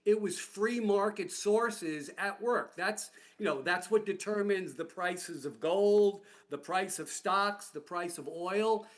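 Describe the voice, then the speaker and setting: A man giving a rambling, halting, earnest comment in a discussion. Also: high voice. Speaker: audience participant, public health system worker.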